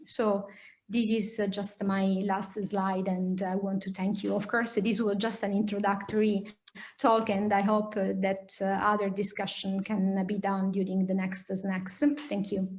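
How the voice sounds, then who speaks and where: A woman with an Italian accent giving an academic lecture and answering questions, explaining hesitantly with many pauses. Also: medium pitch, level tone, a little mellow. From Italy. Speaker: lecturer, nuclear physicist.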